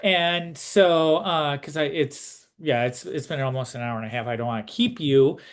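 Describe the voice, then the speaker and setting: A man hosting a casual interview, speaking in a hesitant, halting way as he puts his questions and remarks. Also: on the high side. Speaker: interviewer, host.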